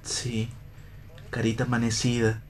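A man's voice: mid-range voice, completely flat delivery, a little slow, slight background noise, natural voice. A man acting a philosophical vagabond husband in a play reading for radio, with declamatory, literary phrasing that is tender, bitter and ironic. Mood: tender, melancholy.

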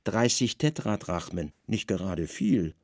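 A man playing a weary, brooding Roman governor with a sharp, commanding mind, in a literary radio drama. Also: on the low side, big pitch swings, measured pace, gravelly, no echo, clean studio sound, heavily acted. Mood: probing, dry.

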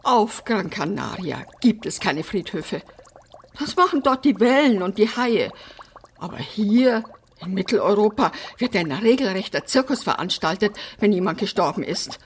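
An actress playing a brash, eccentric apartment resident in a radio drama, theatrical, flippant and defiant. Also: female voice, medium pitch, lively intonation, normal speed, husky. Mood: agitated. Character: brash, eccentric, bossy female apartment resident opening others' letters. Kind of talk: German radio drama acting.

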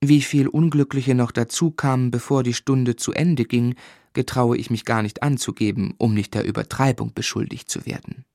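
A man's voice: mid-range voice, steady tone, gravelly, darkish tone, no echo, close to an everyday voice. Man acting as reflective storyteller, dry studio sound. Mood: wistful, wry. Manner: measured, articulate, wryly reflective. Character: reflective grown man narrating his boyhood memories. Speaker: narrator. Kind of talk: literary scripted narration in a radio drama.

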